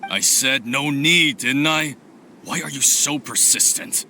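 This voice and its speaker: A man's voice: mid-range voice, takes it slowly, background noise. A man voicing a suspicious male thief boss who talks curtly and impatiently, in a game cutscene. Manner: curt, impatient.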